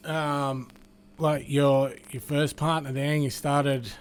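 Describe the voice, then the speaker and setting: A man hosting a casual interview, asking questions in a relaxed, hesitant way with lots of fillers. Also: on the high side, takes it slowly, clean tone. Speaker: podcast host.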